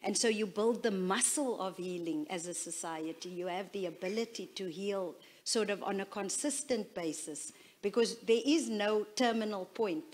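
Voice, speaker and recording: Thoughtful woman interviewer, mid-pitched voice. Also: a little mellow. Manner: measured, paraphrases and reflects back. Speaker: interviewer, moderator.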